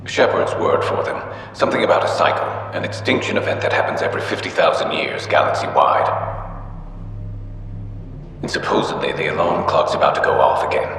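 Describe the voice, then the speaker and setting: Very deep, wary alien veteran. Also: male voice, expressive, brisk, gravelly, a bit bright, heavily acted. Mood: grave, confiding. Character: older male alien veteran, gruff and wary father. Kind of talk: video game dramatic acting. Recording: very echoey hall, faint hum.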